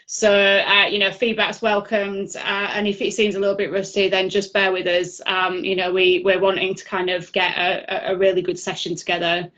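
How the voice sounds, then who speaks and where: A woman's voice: medium pitch, completely flat delivery, moderate pace, few pauses, fairly bright tone. A woman with a Yorkshire accent presenting at an online user group, chatty and full of fillers. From Yorkshire, England. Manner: chatty, filler-heavy delivery. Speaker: conference speaker. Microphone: video call. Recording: dull lo-fi video call audio.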